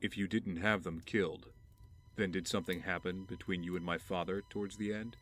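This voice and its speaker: A man's voice: low voice, steady tone, fairly quick, a bit bright, soft background music. An actor playing a brooding, resolute rich heir in an audio drama, earnest and accusing as he speaks of justice. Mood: cold, accusing. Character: brooding, intense wealthy male heir bent on justice.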